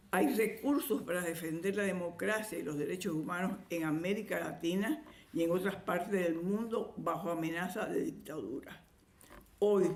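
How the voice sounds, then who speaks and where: A woman presenting an award, reading a formal ceremony speech in a measured, formal way. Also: fairly low pitch, gravelly, roomy sound. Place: event hall.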